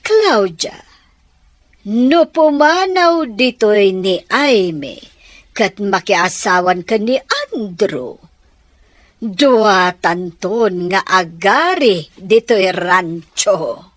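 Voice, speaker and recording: A woman's voice: mid-range voice, expressive, drawn-out words, dry recording. An actress playing a sly, manipulative middle-aged stepmother in a radio drama, with a theatrical, conniving delivery. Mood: scheming. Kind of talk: Ilocano radio drama acting.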